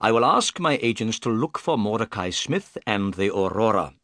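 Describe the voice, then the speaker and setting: A man's voice: on the low side, expressive, moderate pace, fairly bright tone, no echo, studio quality. A man voicing a composed, courteous, shrewd detective in an audiobook story, polite and confident. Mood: decisive, confident.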